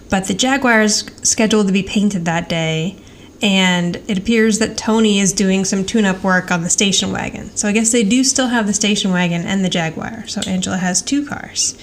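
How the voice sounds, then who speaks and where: American lady podcast host, chatty and a bit wry, mid-pitched voice, little bit of noise. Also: moderate pace. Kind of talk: casual chat, episode recap. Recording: faint background noise.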